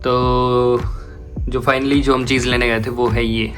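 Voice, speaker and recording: A man's voice: mid-range voice, flat delivery, takes it slowly, over loud music. An Indian man talking casually as a vlogger, informally addressing viewers as friends and mixing in English phrases as he walks through things. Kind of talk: casual vlog talk, unboxing and product walkthrough.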